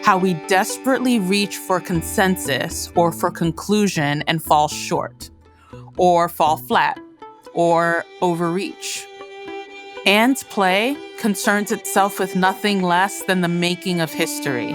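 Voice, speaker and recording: A woman host reading scripted narration in a measured, deliberate way. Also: lowish voice. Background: music bed.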